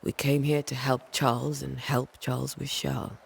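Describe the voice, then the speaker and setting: Low, gravelly, resolute ghost hunter. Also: female voice, little pitch movement, very noisy, full character voice. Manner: measured, grave, reassuring. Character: composed, resolute woman ghost hunter in colonial times. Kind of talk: video game cutscene acting. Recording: music under the dialogue.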